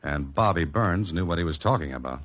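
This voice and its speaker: An American actor playing a wry, cool novelist-sleuth in a 1940s radio drama, with dry, clipped delivery. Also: male voice, low-pitched, animated, brisk. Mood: wry, intent. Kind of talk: 1940s radio drama acting and first-person narration. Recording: old radio recording with music behind.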